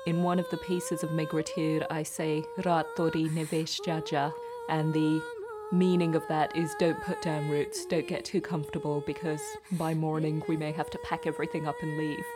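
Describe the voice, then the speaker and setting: A woman poet from Kenya speaking earnestly and deliberately about her work in an interview. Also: deep voice, level tone. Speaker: poet and performer. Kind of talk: reflective interview talk.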